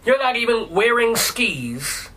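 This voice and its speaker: Bright, high-voiced wisecracking radio host. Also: male voice, big pitch swings, slow pace, low-quality recording. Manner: incredulous, blunt, wisecracking. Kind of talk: talk radio interview.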